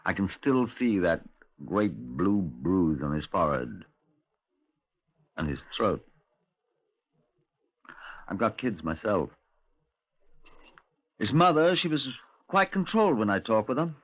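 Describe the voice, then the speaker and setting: A sombre police superintendent in an old radio drama. His low voice moves widely in pitch while keeping a grave, clipped, measured manner. The recording is dull and dated, from 1950s radio. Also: sing-song, exaggerated voice. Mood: grave, reflective. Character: sombre, steady male Scotland Yard superintendent. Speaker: detective narrator.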